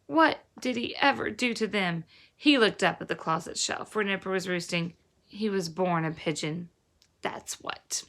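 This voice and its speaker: A woman's voice: mid-range voice, a little slow, a bit bright. Woman reading a children's novel aloud. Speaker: reader, teacher-like storyteller.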